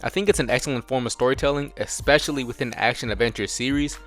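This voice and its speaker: A man's voice: medium pitch, fast talker, a bit bright, soft background music. A young American man giving casual scripted commentary in a slangy, jokey way. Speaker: video essay host.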